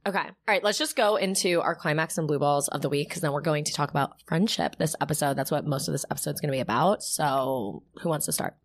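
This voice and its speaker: A woman in her twenties hosting a podcast, talking loudly and casually with lots of "like" fillers. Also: deep voice, fast talker, few pauses. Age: 20s. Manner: loud, filler-heavy. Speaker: podcast co-host. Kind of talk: casual chat.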